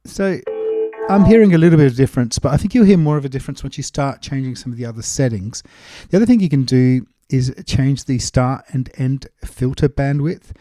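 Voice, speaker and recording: A man giving a casual, step-by-step software tutorial, explaining things as he goes. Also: medium pitch, expressive, a little fast. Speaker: music production tutorial presenter.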